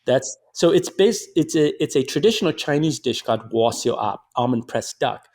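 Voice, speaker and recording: A middle-aged man from Detroit, a writer and filmmaker, chatting in an interview as an animated storyteller with lots of fillers and restarts. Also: mid-range voice, moderate pace. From Detroit, USA. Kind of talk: conversational interview.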